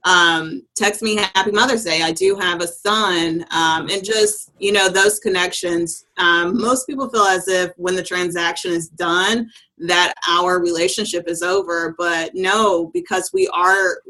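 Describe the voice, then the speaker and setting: A woman who works as an agent, talking on a casual video call in an agreeable, measured way. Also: on the low side, steady tone, bright, forceful tone, poor audio. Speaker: real estate agent.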